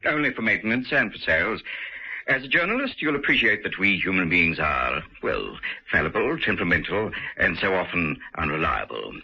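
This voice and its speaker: An actor playing a scheming male industrialist confined to a wheelchair, speaking in a smooth, clipped, condescending manner in a radio drama. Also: sits low, sing-song, brisk, full character voice. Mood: smooth, superior. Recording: dull lo-fi radio broadcast.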